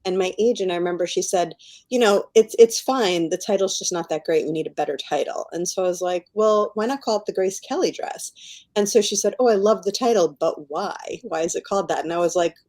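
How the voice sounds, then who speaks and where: A middle-aged woman with a slightly low voice speaks as a guest author in an interview. She is chatty and effusive, answering in a casual, enthusiastic way. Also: fairly quick, a little mellow.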